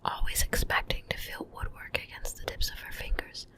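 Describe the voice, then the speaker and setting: A woman reads a bedtime story in a soft, breathy ASMR whisper, hushed and gentle, close to the microphone from ear to ear. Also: a little fast. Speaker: ASMR reader. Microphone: close ear-to-ear stereo microphone.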